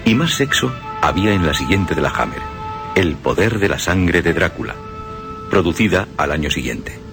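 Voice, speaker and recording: Man, deep voice, lively intonation, scripted radio film commentary. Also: normal speed, vocal fry, music in the background. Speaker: radio commentator on horror films.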